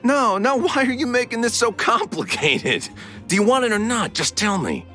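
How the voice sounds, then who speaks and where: An actor playing an aggrieved, defiant underworld thief in a video game, whining and blustering. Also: male voice, extremely high pitch, very animated, normal speed, sharp tone, soft background music, heavily acted. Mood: impatient. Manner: whiny, blustering, stammering when cornered.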